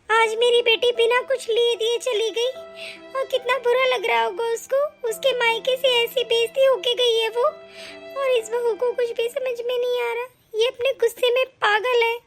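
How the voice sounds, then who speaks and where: Scolding older matriarch, shrill and high, played by a woman. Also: fairly even intonation, moderate pace, over music. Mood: lamenting. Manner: scolding, indignant. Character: stern, scolding old mother-in-law. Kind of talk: family skit acting.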